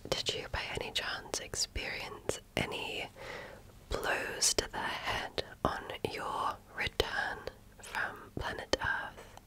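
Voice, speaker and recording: A woman whispering in ASMR style as she role-plays a medical examiner, gently asking questions in short, measured phrases. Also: low-quality recording.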